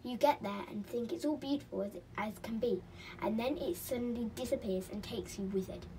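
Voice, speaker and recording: An indignant little magical fellow from a children's storybook, acted out by a woman reader. He scolds and warns crossly, his voice pushed up higher and louder. There is clear noise on the recording. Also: fairly quick, far from the mic, close to an everyday voice, low-quality recording. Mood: cross warning.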